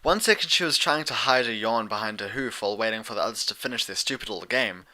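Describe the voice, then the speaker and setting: Bright, forceful male narrator. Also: fairly low pitch, fast talker. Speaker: audiobook narrator. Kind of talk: scripted story narration.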